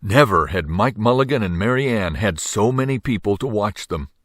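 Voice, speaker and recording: An older man reading a children's story aloud with dramatic, drawn-out phrasing. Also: on the low side, lively intonation, no room sound, clean studio sound. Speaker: storybook reader.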